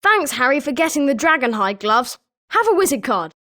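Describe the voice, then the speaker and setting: A woman voicing an excitable young British schoolboy wizard in a video game, with animated scripted delivery. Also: high-pitched, a little fast, heavily acted. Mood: grateful. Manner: animated, expressive.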